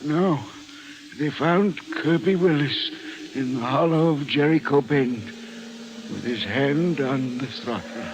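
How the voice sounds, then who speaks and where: An actor playing a guilt-ridden old railway man in an old-time radio mystery, halting and brooding. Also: mid-range voice. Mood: haunted. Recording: dull, noisy vintage radio recording.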